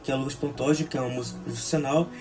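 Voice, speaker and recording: A young man with a Brazilian Portuguese accent gives an academic slide presentation as a student film director, in a halting, hesitant manner. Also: mid-range voice, fairly even intonation, darkish tone, soft background music. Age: young adult. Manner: halting, with frequent hesitations and restarts. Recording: clear background noise.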